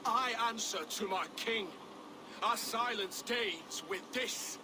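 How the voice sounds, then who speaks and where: An actor playing a stoic, loyal medieval warrior resigned to death in a video game, terse and grim. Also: male voice, sits high, slow, noisy background. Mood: grim defiance. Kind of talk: dramatic video game acting.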